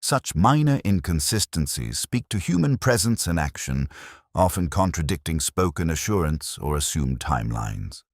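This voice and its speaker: A contemplative detective inspector played by a man recounts his case in a very low voice, recorded dry and close. His delivery is formal and measured, with lively rises and falls, in the manner of a literary mystery story. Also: no room sound, full character voice. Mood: reflective, methodical. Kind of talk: scripted first-person detective story narration.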